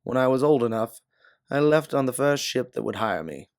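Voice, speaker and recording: A man's voice: mid-range voice, lively intonation, normal speed, no echo, clean studio sound, natural voice. A man voicing a weary, candid stranded ex-pilot in a science fiction audiobook. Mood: wistful. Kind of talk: audiobook character dialogue.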